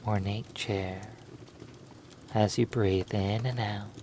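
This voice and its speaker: Man, low voice, extremely slow pace, soothing hypnosis narration, long pauses, faint background noise. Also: steady tone, darkish tone. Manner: slow, lulling delivery with long pauses between phrases.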